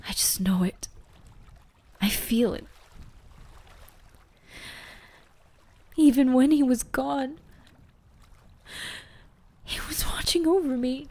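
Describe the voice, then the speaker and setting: A woman playing a gentle, grieving but resolute young ghoul girl in a roleplay audio drama, speaking softly and earnestly with pauses and whispers. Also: sits high, exaggerated voice. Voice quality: soft, often breaking into whispers. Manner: slow, emotional, earnest, with pauses.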